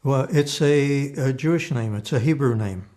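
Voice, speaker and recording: A man in his eighties, born in Slovakia with Slovak as his first language, giving oral history testimony in a measured, thoughtful way with frequent restarts. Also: medium pitch, mellow. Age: 80s. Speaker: interviewee.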